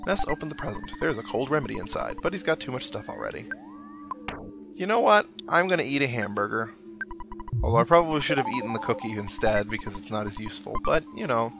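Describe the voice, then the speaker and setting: A man's voice: mid-range voice, expressive, fast talker, creaky, lo-fi sound. Man rambling through casual gameplay commentary over music. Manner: rambling, musing aloud, reads on-screen text. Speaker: let's play host. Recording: game music behind the voice. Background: video game music.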